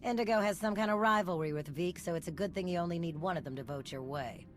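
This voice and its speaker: Game role: wry female underworld contact woman actor, deep voice, businesslike briefing, faint music behind. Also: fast, close to an everyday voice. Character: brisk, worldly female underworld guide briefing allies. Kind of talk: video game dialogue.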